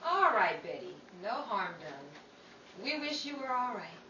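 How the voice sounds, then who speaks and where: A woman's voice: fairly high pitch, sing-song, measured pace, muffled recording. A woman playing a chirpy, teasing young Hollywood gal in slangy 1930s radio comedy. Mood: friendly. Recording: echoey room, distant mic, faint noise.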